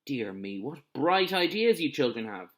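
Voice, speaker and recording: A man's voice: mid-range voice, sing-song, normal speed, close to an everyday voice. Man reading as a stern, approving police inspector. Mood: pleased, approving.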